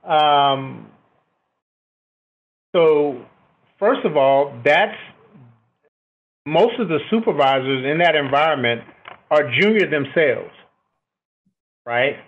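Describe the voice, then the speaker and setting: A middle-aged man with a booming voice, an officer answering interview questions reflectively and often checking in with "right?". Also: expressive, slow pace, pauses often. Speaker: military officer, podcast guest. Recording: thin, phone-like band-limited line.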